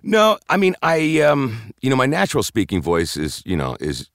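A man who works as a voiceover announcer, chatting in an interview with a deep voice and a halting, filler-heavy way of talking. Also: wildly swooping pitch, dry recording, clean studio sound. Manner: rambling, halting, with many fillers and restarts. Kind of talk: casual interview chat.

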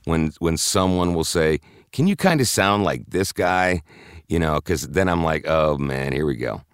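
Deep-voiced man, very low pitch. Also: lively intonation, smooth, dry recording, clean studio sound. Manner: rambling, halting, with many fillers and restarts. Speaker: voiceover announcer, interview guest. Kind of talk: casual interview chat.

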